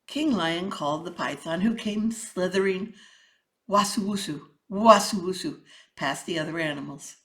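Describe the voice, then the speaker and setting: A woman reading a children's story aloud with dramatic, deliberate narration. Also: lowish voice, fairly bright tone.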